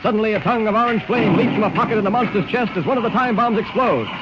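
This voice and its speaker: Male narrator for vintage adventure radio; high-pitched, declamatory, muffled old recording. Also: racing, over loud music. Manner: dramatic, declamatory. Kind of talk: 1940s radio serial narration, scripted.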